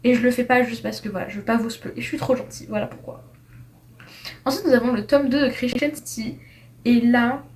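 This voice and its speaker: A young woman giving a casual, chatty review of books, tripping over her words and dropping slang as she ranks them. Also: a little high. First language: French. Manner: chatty, animated, with slang and frequent restarts. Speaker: book reviewer. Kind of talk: casual tier-list book review.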